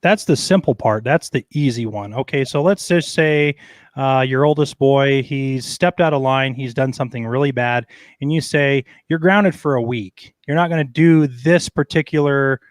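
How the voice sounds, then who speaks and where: A man's voice: mid-range voice, no room sound. A man hosting a casual parenting chat, often stumbling and repeating words as he talks. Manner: halting, repeats words, laughs easily. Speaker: host.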